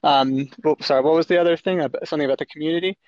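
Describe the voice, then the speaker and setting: A man representing a building owner, apologetic and hurried while calling in from his phone. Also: on the high side, fairly even intonation, darkish tone, no room sound. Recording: dull phone audio.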